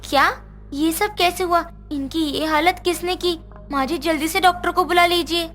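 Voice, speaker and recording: Casting: female actor as young put-upon housewife, high, sharp-edged voice, melodramatic cartoon delivery, music underneath. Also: normal speed. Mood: meek, innocent. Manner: dramatic, swings from meek pleading to menace. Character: put-upon young daughter-in-law, tearful, turning fierce when possessed. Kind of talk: Hindi moral-story cartoon dubbing.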